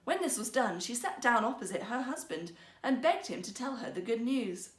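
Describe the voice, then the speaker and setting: A woman's voice: fairly high pitch, big pitch swings, normal speed, far from the mic. A woman storyteller reading a fairytale aloud in a theatrical style. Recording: roomy, echoing sound.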